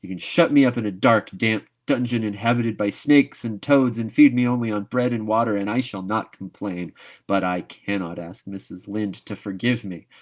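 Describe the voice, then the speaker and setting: A man's voice: fairly low pitch, steady tone, normal speed, lightly acted. Man putting on a dramatic, stubborn young girl. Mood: defiant. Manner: dramatic, emphatic. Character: proud, passionate young orphan girl, stubbornly unrepentant. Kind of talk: storybook read-aloud character voice.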